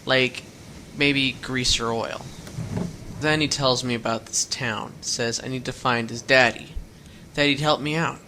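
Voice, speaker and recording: A man's voice: mid-range voice, steady tone, a little slow, background noise, close to an everyday voice. An actor playing a fifteen-year-old runaway boy in a radio drama, talking in a plain, folksy, rambling way. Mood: earnest. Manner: plain-spoken, rambling storyteller with folksy words. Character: teenage runaway boy, fifteen, earnest and guarded. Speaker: hitchhiking runaway.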